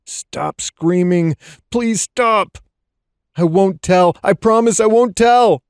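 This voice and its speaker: A man's voice: high-pitched, takes it slowly, clean tone, no room sound, clean studio sound, voice pushed up. A man voicing a terrified, guilt-ridden young man fleeing for his life, pleading frantically in an audiobook thriller. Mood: panicked, pleading. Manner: frantic, pleading, urgent repeated exclamations. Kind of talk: audiobook thriller character acting.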